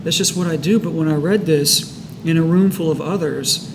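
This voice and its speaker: A man's voice: on the high side, normal speed. A man co-hosting in a casual, reflective way. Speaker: co-host of a recovery book study. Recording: reverberant room with background noise.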